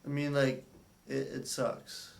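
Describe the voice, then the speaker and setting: Young guy with a stutter, slow and halting, gravelly voice, sounds far off in an echoey room. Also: mid-range voice, steady tone. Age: young adult. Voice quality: stutters, with blocks and repeated words. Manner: hesitant, halting, with many fillers. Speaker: speech therapy client. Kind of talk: candid interview talk.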